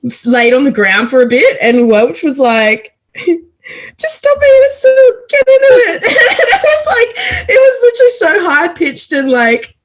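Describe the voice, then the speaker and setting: A woman's voice: very animated, measured pace. A young Australian woman co-hosting a casual rugby league podcast over a video call, chatty and laughing often. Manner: giggly, chatty, frequent laughter, high squeals when mimicking. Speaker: podcast co-host.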